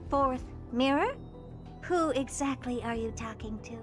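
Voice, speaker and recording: A woman voicing a haughty, blunt young genius scientist in a video game, dry, brisk and self-assured. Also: high voice, over loud music. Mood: dry, skeptical.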